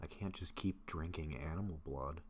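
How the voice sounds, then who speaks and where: A man playing a gentle, affectionate vampire in an intimate roleplay audio drama, speaking in a soft, halting, confiding way. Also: a bass voice. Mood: troubled. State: sick, occasional coughing. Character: gentle sick male vampire, affectionate, self-doubting best friend. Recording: faint steady background noise.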